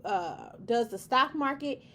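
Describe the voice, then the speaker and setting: Emphatic woman lecturing, high voice. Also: expressive, slow, sharp tone. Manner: emphatic, repetitive, preachy lecturing. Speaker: commentary host. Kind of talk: opinion monologue to camera.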